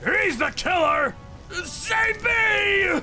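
A man voicing an arrogant, brutish villain in an over-the-top manga dub, loud, strained and frantic. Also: very high voice, lively intonation, extremely slow, soft background music, heavily acted. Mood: agonized. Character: arrogant, brutish male villain, ranting and screaming.

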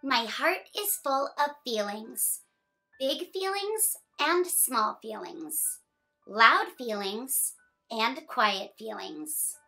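High, bright young woman reading to children. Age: young adult. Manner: animated, expressive storytelling to young children. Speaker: children's story time host. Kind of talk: picture book read-aloud for children.

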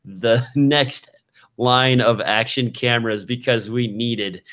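A man's voice: medium pitch, steady tone, slow, a bit bright. A man in his thirties hosting a podcast, chatting casually about tech gear into a close microphone. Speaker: podcast host. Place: small indoor room with shelves of gear. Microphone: broadcast microphone on a boom, close up, with headphones.

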